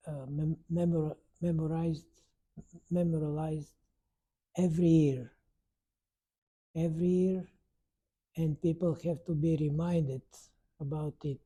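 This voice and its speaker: Elderly man in his 90s, dark husky timbre, slow earnest testimony with pauses. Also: a little high. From Bulgaria. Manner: halting, searching for words, earnest. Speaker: Holocaust survivor interviewee. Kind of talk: oral history interview.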